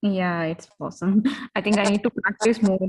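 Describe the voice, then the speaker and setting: A woman's voice: mid-range voice, fairly even intonation, moderate pace, breathy edge. A woman student in an online class, giving short replies and laughing often.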